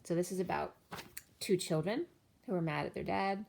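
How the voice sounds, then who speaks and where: Woman tarot reader with a low-set voice, incantatory delivery, slightly worn voice. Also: moderate pace, creaky, darkish tone, slight background noise. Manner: flowing, incantatory listing of cards and numbers. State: losing her voice. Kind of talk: spoken tarot reading.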